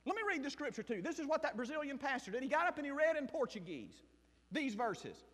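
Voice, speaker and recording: A man from South Mississippi with a Southern accent, preaching a sermon and telling his own story as a missionary pastor, shifting between loud bursts and hushed asides. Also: very high-pitched, very animated, fast talker. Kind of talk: sermon with personal testimony. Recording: long hall reverb.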